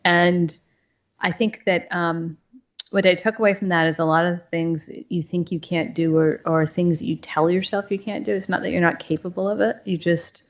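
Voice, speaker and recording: A middle-aged woman from northern Maine answers interview questions in a low, steady voice. She is thoughtful and halting and restarts her sentences often. The audio sounds dull and lo-fi, with faint music behind her. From northern Maine, USA. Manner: thoughtful, halting, many fillers. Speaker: CEO, podcast guest.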